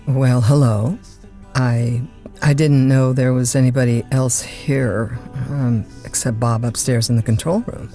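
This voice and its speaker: An actress playing a chatty, easygoing overnight radio disc jockey in an audio drama, with a folksy, informal manner. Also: female voice, a bass voice, fairly even intonation, a little slow, warm tone, faint music behind. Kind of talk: naturalistic audio drama acting.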